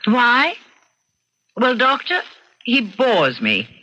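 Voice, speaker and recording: An actress playing a bored, cunning wife in her thirties in an old-time radio drama, with cool, theatrical, deliberate delivery. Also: a little high, wildly swooping pitch, sharp tone, full character voice. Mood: cool, deadly serious. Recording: dull, noisy vintage radio recording.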